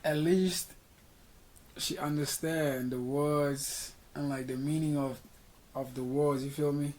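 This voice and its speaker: A man's voice: on the high side, steady tone, slow, a little mellow. Laid-back man reacting. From South Africa. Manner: laid-back, slangy asides. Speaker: reaction video host. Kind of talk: reaction commentary.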